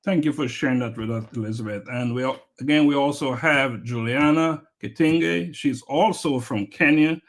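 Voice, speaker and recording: A man's voice: medium pitch, moderate pace, hardly any pauses. A man hosting an interview podcast in a plain, mid-range voice. He talks with upbeat emphasis, lifting his voice to welcome and prompt his guests. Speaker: podcast host.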